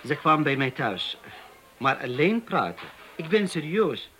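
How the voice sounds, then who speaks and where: An actor playing an earnest young Turkish waiter who speaks haltingly, with many hesitations, in a radio play. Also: male voice, fairly high pitch, very animated, a bit bright, soft background music. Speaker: Turkish waiter in a police interview.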